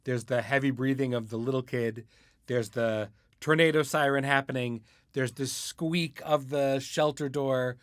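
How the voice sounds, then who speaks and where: A man's voice: medium pitch, fairly even intonation, unhurried, clear, resonant tone, no echo, clean studio sound. A man hosting a casual interview, explaining things in an informal, conversational way. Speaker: podcast host, interviewer.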